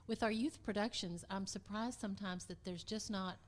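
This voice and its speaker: A woman's voice: mid-range voice, steady tone, normal speed. A woman talking casually as an interview guest representing a community theater.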